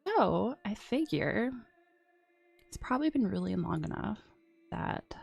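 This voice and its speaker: A woman streaming a strategy game and chatting casually, a bit sniffly from a runny nose, often dropping into soft whispered asides. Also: mid-range voice, sing-song, unhurried, creaky, breathy edge, faint music behind. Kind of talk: casual gameplay commentary.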